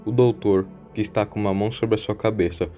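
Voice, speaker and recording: Low-voiced man narrating an audio drama. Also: fairly even intonation, a little fast, dark tone, faint music behind.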